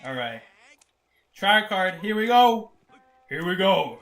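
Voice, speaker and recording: A young man commentating his own gameplay live, excitable and laughing often, going from loud disbelief to low mutters. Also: takes it slowly, soft background music. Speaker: game streamer.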